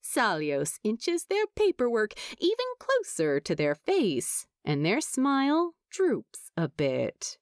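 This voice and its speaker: A woman's voice: on the high side, wildly swooping pitch, slow pace, hardly any pauses, dry recording, clean studio sound. A woman narrating a scripted story expressively, as an audiobook reader would. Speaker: fan fiction narrator.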